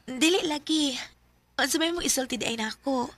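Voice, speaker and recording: A woman with a Filipino accent playing a gentle, earnest, lovelorn teenage schoolgirl in a radio drama. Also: sits high, moderate pace, no room sound. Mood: helpful, teasing. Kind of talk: Philippine radio drama acting.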